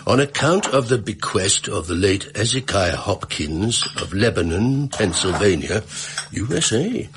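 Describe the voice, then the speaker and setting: Fussy shopkeeper in a radio play, low voice, rambly n hesitant, bit of noise behind. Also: male voice. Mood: puzzled reading. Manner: rambling, hesitant, chuckling, deferential. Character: fussy, garrulous, gullible widowed London pawnbroker. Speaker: client telling his story. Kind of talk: British radio drama acting.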